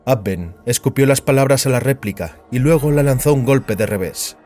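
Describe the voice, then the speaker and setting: A man's voice: medium pitch, animated, moderate pace, a little mellow, faint music behind. A man giving a dramatic narration of scripted fiction as an audiobook narrator.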